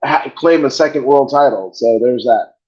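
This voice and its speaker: A man's voice: mid-range voice, normal speed, a little mellow. A man hosting a podcast in casual chat, profane and rambling, joking as he goes. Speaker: podcast host. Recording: dull lo-fi sound.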